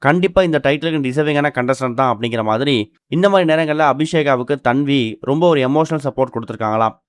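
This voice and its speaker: A man's voice: medium pitch, fast, no echo, clean studio sound. A man reading a scripted entertainment news voice-over as narrator.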